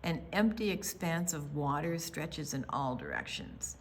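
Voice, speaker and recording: An American woman reading a picture book aloud for young children, with dramatic pauses, hushed asides and emphatic delivery. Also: sits low, big pitch swings. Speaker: children's storytime reader at a library.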